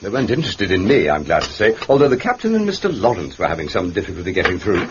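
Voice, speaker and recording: An actor with an English accent playing a courteous, wry gentleman detective in a radio drama, understated and politely hesitant. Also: sits low, huge pitch swings, quick pace, lo-fi sound, background noise. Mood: wry, mild. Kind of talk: British radio drama acting.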